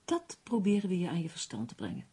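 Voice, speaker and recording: An actress playing an earnest, worried crime novelist in a Dutch radio play, speaking seriously and insistently. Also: female voice, fairly low pitch, very animated, fast talker, warm tone, slightly rough, heavily acted.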